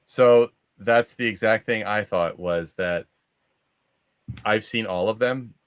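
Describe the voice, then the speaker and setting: A man's voice: on the low side, slow, smooth, dry recording. A man hosting a movie discussion show on a video call, chatting casually. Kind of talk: casual chat.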